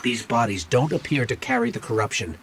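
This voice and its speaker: Game role: small companion robot, watchful and chatty, medium register. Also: male voice, medium pitch, wildly swooping pitch, brisk, a bit bright, a little hiss or hum. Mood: earnest, alert. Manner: earnest, conversational, alert. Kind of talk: video game companion dialogue.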